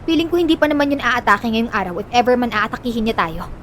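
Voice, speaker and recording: A woman's voice: fairly high pitch, expressive, quick pace, a bit bright, slight background noise. A woman with a Filipino accent playing a bossy, money-minded younger sister in an audio drama, blunt and sassy as she bickers. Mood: scheming.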